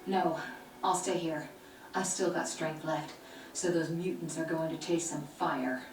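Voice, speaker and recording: An actress playing a confident, composed sorceress in a video game, speaking with assurance. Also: female voice, sits low, steady tone, a little slow. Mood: determined. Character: confident, loyal female sorceress, composed and brave. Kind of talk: video game cutscene acting. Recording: echoey game audio, distant, faint music.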